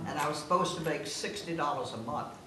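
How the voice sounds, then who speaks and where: A woman's voice: low-pitched, brisk. A woman in her nineties with a Southern American accent, telling old stories casually to family. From South Carolina, United States. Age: 90s. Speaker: guest of honour reminiscing. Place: room at a family gathering. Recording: echoey room, distant mic, clear noise.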